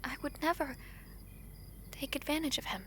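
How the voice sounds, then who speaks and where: A woman playing a gentle, earnest young princess in a romantic audio drama, speaking softly in formal, hesitant phrases. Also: high-pitched, fairly even intonation, normal speed, slight background noise. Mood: earnest, tender.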